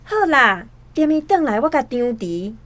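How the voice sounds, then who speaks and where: Wary, high-voiced Taiwanese wife. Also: female voice, lively intonation, normal speed, fairly bright tone, no room sound. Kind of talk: Taiwanese radio drama acting.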